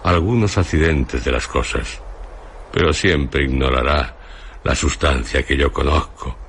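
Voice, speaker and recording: An actor playing an ancient, venerable hermit sage near death, speaking solemn, grandiloquent Castilian Spanish in a radio drama. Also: male voice, heavily acted. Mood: grave, stern. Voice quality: deep, husky. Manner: slow, grandiloquent, declamatory. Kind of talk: Spanish radio theatre acting.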